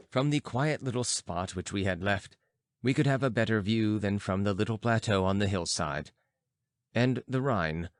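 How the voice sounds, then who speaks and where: A man's voice: fairly low pitch, no echo, clean studio sound. A man reading a literary audiobook as its narrator, in a scripted storytelling style.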